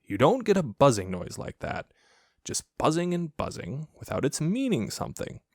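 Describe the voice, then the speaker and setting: A man voicing a slow-thinking, earnest toy bear in a children's story, reasoning aloud in a ponderous, repetitive way. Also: a little high, huge pitch swings, dry recording, clean studio sound, voice pushed up, heavily acted. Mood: pondering.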